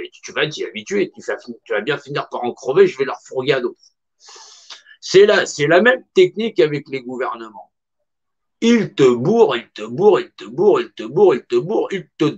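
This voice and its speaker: A man's voice: on the high side, big pitch swings, moderate pace, husky. A middle-aged man speaks in an impassioned monologue with a slightly raised voice. He is emphatic and colloquial and hammers on repeated phrases. The recording sounds dull and lo-fi.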